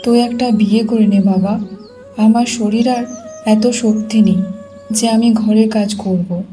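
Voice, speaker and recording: A woman voicing a kindly, weary village mother in a cartoon, gently coaxing and advising. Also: fairly high pitch, steady tone, measured pace, soft background music, close to an everyday voice. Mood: pleading, weary. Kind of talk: Bengali cartoon voice acting.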